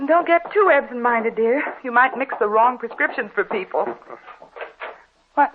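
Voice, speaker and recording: A woman's voice: a little high, lively intonation, normal speed, background noise, natural voice. A woman playing a cheerful, sensible young housewife in 1940s radio drama style, chatty and politely questioning. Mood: gently scolding. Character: cheerful sensible young housewife newly moved to a small town. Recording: muffled, band-limited old radio recording.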